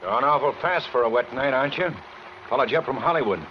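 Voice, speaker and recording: An American man playing a gruff, authoritative but casual motorcycle cop in a 1940s radio drama. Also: mid-range voice, fast, natural voice. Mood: suspicious. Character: gruff male motorcycle cop on night patrol. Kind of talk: 1940s radio suspense acting. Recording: noisy muffled 1940s radio recording.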